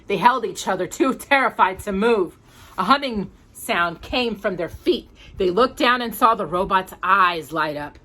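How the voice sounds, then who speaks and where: A woman hosts a storytime, reading a picture book aloud. Her voice is bright and forward, at a middling pitch, and she narrates expressively for a young listener.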